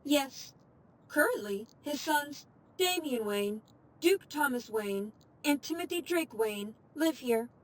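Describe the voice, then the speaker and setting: A woman's voice: high-pitched, lively intonation, slow. A woman voicing a composed, formal AI assistant in an audio drama, giving information in a precise, matter-of-fact way. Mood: calm, informative. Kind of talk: audio fiction dialogue.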